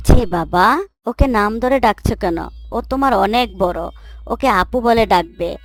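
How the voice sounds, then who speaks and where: A woman voicing a kind, pious mother in a moral cartoon, gently admonishing and instructive. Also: mid-range voice, unhurried, dry recording, natural voice. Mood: earnest, instructive. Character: kind, pious mother gently correcting her young son. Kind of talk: Islamic moral cartoon voice acting.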